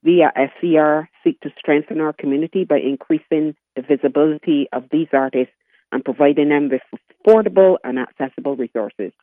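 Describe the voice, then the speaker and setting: A woman in her sixties from Barbados, a guest talking in an interview, often halting and restarting her sentences. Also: sits low, dry recording. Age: 60s. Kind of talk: interview conversation. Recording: tinny phone line.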